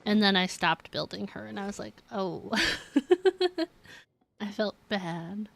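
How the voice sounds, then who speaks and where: A woman's voice: mid-range voice, sing-song, slow pace, vocal fry, a bit bright, faint music behind. A woman chatting casually on a game live stream, rambling and giggly as she talks to viewers.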